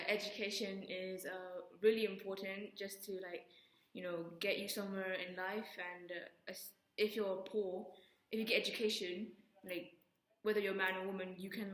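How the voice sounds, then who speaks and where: A woman's voice: mid-range voice, flat delivery, normal speed, fairly bright tone. A woman answering interview questions casually with lots of fillers. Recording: echoey room.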